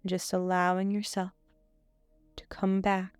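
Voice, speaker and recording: Female meditation guide: smooth, clear voice, unhurried and soothing, soft music behind. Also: mid-range voice, monotone, no echo. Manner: soothing, measured delivery with frequent pauses. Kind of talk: scripted guided meditation, hypnotic suggestion.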